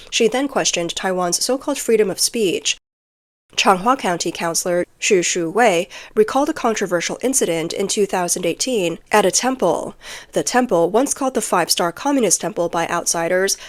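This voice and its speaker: A woman reading scripted news narration, including translated quotes, as the narrator of a report. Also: mid-range voice.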